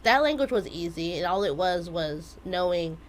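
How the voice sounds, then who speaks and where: A woman's voice: lowish voice, moderate pace. Chatty young woman telling stories. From United States. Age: 20s. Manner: chatty, animated storytelling. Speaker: guest, math teacher. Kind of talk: casual interview chat.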